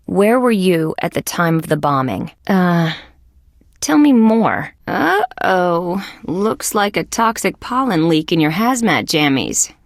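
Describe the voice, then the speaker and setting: A woman playing a wry, skeptical young female police detective in a video game, with a deadpan, sardonic delivery. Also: lowish voice, slow, no echo, clean studio sound, full character voice. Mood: businesslike questioning. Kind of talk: scripted game character lines.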